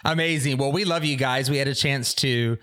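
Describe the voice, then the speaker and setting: A man's voice: medium pitch, brisk, fairly bright tone. A man, a pastor hosting an interview in a friendly, enthusiastic, rambling way. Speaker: pastor, podcast host. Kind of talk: casual interview conversation.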